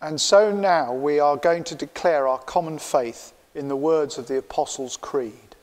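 A man's voice: on the high side, a little slow, darkish tone. An older man with a British accent leading a church service, announcing a hymn and the creed in a formal tone. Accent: British English. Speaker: service leader. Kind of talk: leading worship. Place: church, at a lectern. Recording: long church reverb.

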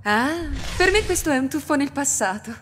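A cool, seductive homunculus, voiced by a woman in an anime fan dub. She speaks calmly and smoothly in a mid-range voice, with reverb trailing off and a faint hiss behind. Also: sits high, normal speed. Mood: poised. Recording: roomy reverb with faint noise.

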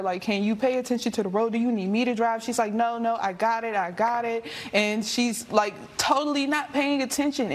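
A young woman testifying as the defendant in a TV courtroom, rambling and peppering her speech with "like". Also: mid-range voice. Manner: rambling, filled with "like". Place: TV courtroom set.

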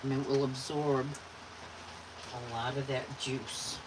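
A woman's voice: extremely low pitch, little pitch movement, slow. A woman, chatting with her viewers while she cooks in her home kitchen. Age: 60s. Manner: chatty, talks straight to viewers. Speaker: cooking vlogger. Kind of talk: casual cooking demo. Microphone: phone camera on the counter. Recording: loud background noise.

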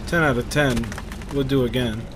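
A man's voice: medium pitch, steady tone, normal speed. Offhand sweary man over game gunfire. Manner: profane, sarcastic, offhand. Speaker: gamer commentator. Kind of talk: casual gameplay commentary. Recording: game gunfire and vehicle noise behind. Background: war game sounds, gunfire, vehicles.

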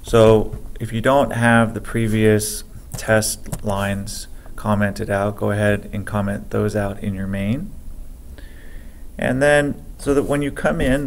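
A man teaching a programming lesson over a screen recording, speaking slowly and haltingly as he reads code aloud. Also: lowish voice, gravelly. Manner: slow, halting, dictates code aloud with many pauses. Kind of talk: screen-recorded coding tutorial.

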